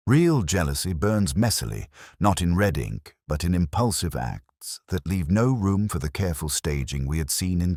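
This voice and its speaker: A man playing a brooding, weary middle-aged police inspector who narrates his case in a husky, measured, solemn, literary way. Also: a bass voice, no room sound, clean studio sound, exaggerated voice. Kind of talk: scripted first-person detective narration.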